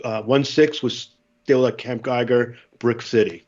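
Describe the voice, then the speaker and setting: A middle-aged former Marine, a native Spanish speaker, tells a long story on a podcast and acts out the dialogue in it. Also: male voice, medium pitch, fairly even intonation, unhurried, darkish tone, highs cut off. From United States.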